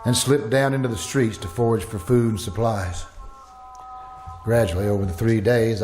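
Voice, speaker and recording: A man's voice: medium pitch, darkish tone, lightly acted. A man playing an anxious plague survivor who narrates his ordeal in an old-time radio thriller, performed live. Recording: live stage recording with music.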